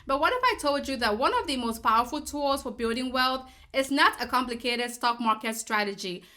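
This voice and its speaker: A woman's voice: fairly high pitch, pushed and bright. A woman from Cameroon, a personal finance educator, giving a scripted, persuasive explainer full of rhetorical questions.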